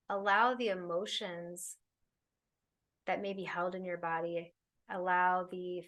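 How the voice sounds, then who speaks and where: A woman leading a guided meditation, speaking soothingly in unhurried phrases with long pauses. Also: lowish voice, fairly bright tone. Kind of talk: guided meditation, spoken slowly to listeners.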